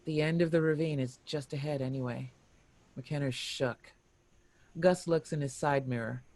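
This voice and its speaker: A screenplay narrator with a mid-range voice reads action lines and scene headings. The delivery is deliberate and expressive, like dramatic storytelling. The sound is dry, without room reflections. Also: mid-range voice, no echo. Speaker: narrator reading stage directions.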